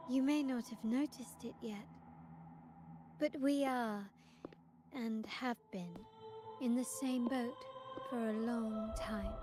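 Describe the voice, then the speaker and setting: Slow, cryptic mysterious woman. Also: on the high side, over music. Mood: cryptic, portentous. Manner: cryptic, poetic, measured. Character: enigmatic woman speaking in riddles of fate. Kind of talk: video game voice acting.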